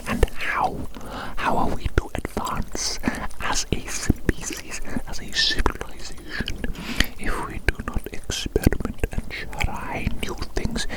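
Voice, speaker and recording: An eccentric mad scientist played by a man whispers close to the microphone in an ASMR roleplay. His voice is breathy and unvoiced, never rising to full speech. He mutters theatrically, obsessive and reckless, turning phrases over again with deliberate relish. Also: close to an everyday voice, low-quality recording.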